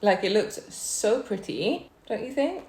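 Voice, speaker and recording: A woman's voice: mid-range voice, big pitch swings, unhurried, roomy sound. A young woman from Wales, hosting a casual eating vlog, chatting about herself and humming as she tastes her food. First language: Chinese. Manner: chatty, savouring food with soft hums between remarks. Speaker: food vlogger.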